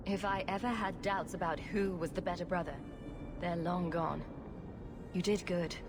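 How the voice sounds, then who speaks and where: A woman's voice: fairly low pitch, steady tone, normal speed, noisy background, close to an everyday voice. A woman playing a witty, sarcastic rogue adventurer heroine in a video game. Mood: light, supportive. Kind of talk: video game cutscene acting.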